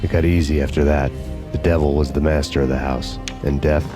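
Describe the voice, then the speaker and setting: An actor voicing a weary hardboiled cop who narrates in a grim, slow noir monologue over game music. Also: male voice, extremely low pitch, steady tone.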